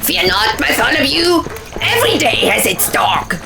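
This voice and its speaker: A woman's voice: high-pitched, big pitch swings, unhurried, gravelly, background noise, pitched above the performer's own voice, exaggerated voice. A woman voicing a male stone gargoyle in an audiobook, speaking in a solemn, declamatory and melancholy manner. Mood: theatrical. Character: stone gargoyle, male, plain-spoken, sad, theatrically melodramatic. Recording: music behind the voice.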